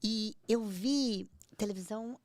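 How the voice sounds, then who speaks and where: A woman's voice: medium pitch, slow pace, darkish tone. A woman in her sixties co-hosting a podcast, chatting casually and making admiring comments. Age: 60s. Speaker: podcast co-host. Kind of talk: casual chat.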